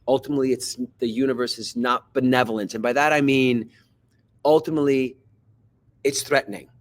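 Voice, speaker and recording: A man from Switzerland, a podcast guest who is an actor and coach, telling a personal story in a thoughtful, halting way with many repeated words. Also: medium pitch, fairly even intonation. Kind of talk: reflective personal story in conversation.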